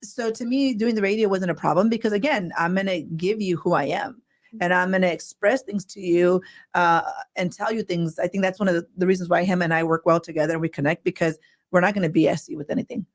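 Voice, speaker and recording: A middle-aged woman with a raspy, loud voice, co-hosting a casual live stream chat and laughing often. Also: on the low side, quick pace. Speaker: co-host.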